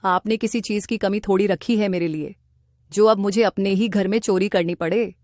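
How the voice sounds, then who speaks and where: A woman's voice: mid-range voice, fairly even intonation, normal speed, a little mellow, dry recording, clean studio sound, close to an everyday voice. A woman voicing an emotional, concerned wife with a guilty, pleading manner in a cartoon. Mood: defensive. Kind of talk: Hindi cartoon voice acting.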